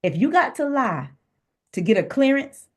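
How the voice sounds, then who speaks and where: A woman's voice: high voice, moderate pace. A woman co-hosting a podcast, talking frankly and telling stories in a casual chat. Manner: frank, animated storytelling, affirming listener. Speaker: podcast co-host, registered nurse.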